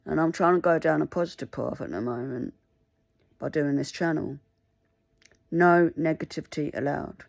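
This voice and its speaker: Woman, Mandarin first language, halting and pleading, dry close sound. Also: low-pitched, gravelly, a little mellow, no room sound. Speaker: vlogger. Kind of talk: casual talk to camera.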